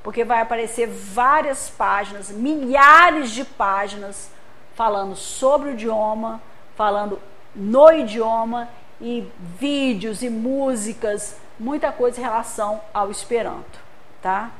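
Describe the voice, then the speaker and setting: High-voiced Brazilian woman lecturing. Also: measured pace, fairly bright tone. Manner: explains patiently, uses homely examples, checks with "tá?". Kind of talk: spiritist center lecture.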